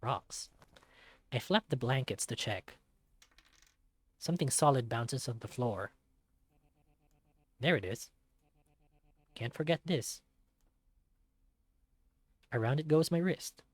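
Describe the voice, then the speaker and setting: A man's voice: medium pitch, lively intonation, slow pace, long pauses, breathy edge, no room sound. A man voicing a self-deprecating young male otter, narrating a visual novel in the first person with wry self-mockery. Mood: wry, matter-of-fact.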